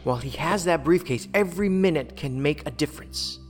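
An actor playing a confident, sardonic federal investigator in a science fiction audio drama, probing and teasing in dry banter. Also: male voice, fairly high pitch, fairly quick, big-room sound, soft background music, natural voice. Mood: focused, confident.

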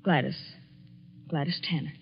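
A man's voice: fairly high pitch, normal speed, creaky, slightly rough, exaggerated voice. A woman playing a despairing but resolute young woman who has been wronged, in a 1940s radio drama. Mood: dejected. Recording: dull, lo-fi 1940s radio recording.

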